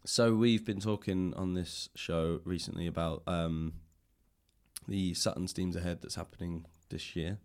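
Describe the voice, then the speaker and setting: A man with a low-pitched voice hosts a casual interview. He talks reflectively and at length, in a loose conversational style. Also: fairly even intonation, unhurried, a little mellow. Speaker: podcast host, interviewer.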